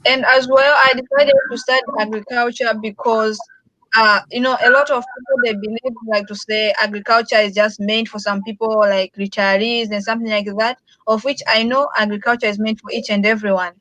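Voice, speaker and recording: A young woman talks earnestly about farming, leaning on "like" and "you know". Her voice is bright and a little high, recorded close and dry with no room sound. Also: fairly even intonation, fairly quick, dry recording, rough recording. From Zambia. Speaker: agriculture student panelist. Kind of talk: online panel discussion.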